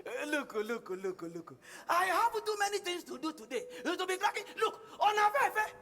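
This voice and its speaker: Actor as an excitable high-voiced Nigerian husband. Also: male voice, moderate pace, full character voice. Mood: impatient, irritated. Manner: impatient, dismissive, exclaiming. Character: irritable, dismissive village husband, busy and impatient. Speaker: stage actor. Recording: stage hall with long reverb.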